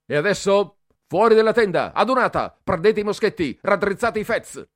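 A harsh young cadet, put on by a storyteller barking orders in a loud, raised voice, sneering and jeering by turns. the pitch jumps well above a normal speaking voice and swings a lot. the reader's delivery is dry and close, with no room sound. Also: male voice, expressive, moderate pace, no echo. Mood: barking, bullying. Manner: barked orders, sneering shouts. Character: bullying young male cadet, harsh and mocking.

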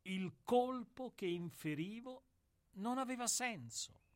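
An actor in a radio play, voicing a somber, philosophical executioner who speaks in a grave, measured and deferential way. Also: male voice, high-pitched, animated. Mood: brooding. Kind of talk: radio drama acting.

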